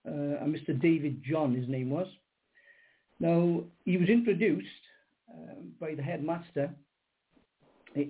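An older man with a husky voice, a little high for a man, talks haltingly, circling back over his own words. He comes through a narrow, tinny phone line. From Wales. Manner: hesitant, repeats words, many ums. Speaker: guest poet. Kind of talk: radio interview.

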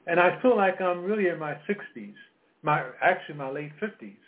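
A man in his seventies hosting a health talk radio show, speaking in a halting way with many fillers and repeated words. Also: on the high side, expressive. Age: 70s. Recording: phone-line audio.

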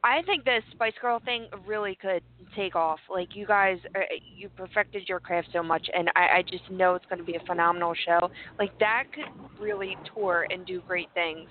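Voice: medium pitch, steady tone, moderate pace, hardly any pauses, a little hiss or hum. A podcast host chatting casually and gushing with enthusiasm over a guest. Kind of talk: casual interview chat. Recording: tinny phone-like line.

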